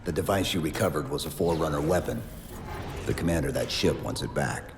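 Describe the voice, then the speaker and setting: A man's voice: a bass voice, animated, quick pace, noisy background. A man playing a stoic male supersoldier who speaks in terse, clipped commands. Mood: calm. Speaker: soldier. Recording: game cutscene, long reverb, music.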